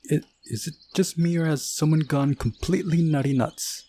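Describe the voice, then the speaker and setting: A man's voice: on the high side, steady tone, normal speed, mellow, a little hiss or hum, voice pushed up, natural voice. A man voicing a brash, prickly teenage girl in a visual novel, blunt and defiant. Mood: startled. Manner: blunt, snappy.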